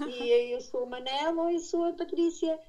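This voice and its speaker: A woman's voice: high-pitched, moderate pace, warm tone. A middle-aged woman from Madeira with a Portuguese accent, chatting as an interview guest and correcting herself as she tells her stories. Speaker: interview guest, expatriate aviation instructor. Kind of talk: casual conversational interview answers.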